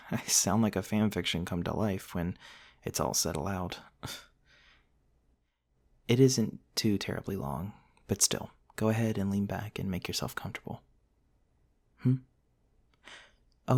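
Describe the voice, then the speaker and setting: A man playing a gentle, courtly, centuries-old vampire prince in a soft, intimate ASMR roleplay, tender and hesitant with old-fashioned politeness. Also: lowish voice, a little slow, creaky, long pauses. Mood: modest, lightly amused.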